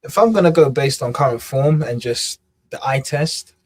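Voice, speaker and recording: Husky-voiced man giving football opinions. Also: medium pitch, expressive, moderate pace. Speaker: football podcast panelist. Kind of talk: casual punditry.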